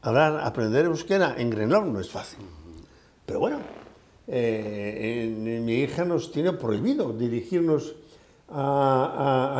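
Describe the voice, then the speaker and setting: A man's voice: mid-range voice, a little mellow. An older man, a former professor, talking things over thoughtfully with many hesitations. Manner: thoughtful, with frequent fillers and hesitations. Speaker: retired professor. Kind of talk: conversational discussion.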